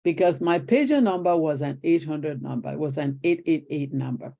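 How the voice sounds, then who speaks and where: A woman's voice: sits low, normal speed, creaky. An older woman from Nigeria with a Nigerian accent, a retired teacher telling stories as an interview guest. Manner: animated storyteller acting out quoted exchanges. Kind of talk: conversational interview storytelling.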